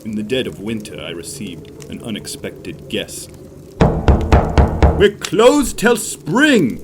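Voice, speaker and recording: A man playing a murderous, greedy innkeeper in an audio drama, speaking in a deliberate, theatrical way. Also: on the low side, huge pitch swings, over music, exaggerated voice. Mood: guarded, tense. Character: murderous male innkeeper, greedy, brooding, cornered by blackmail.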